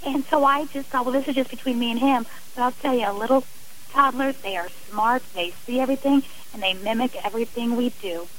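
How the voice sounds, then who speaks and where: A woman's voice: fairly high pitch, brisk, poor audio. A woman speaking earnestly and effusively as a guest in a radio interview. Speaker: interview guest, abuse survivor and advocate.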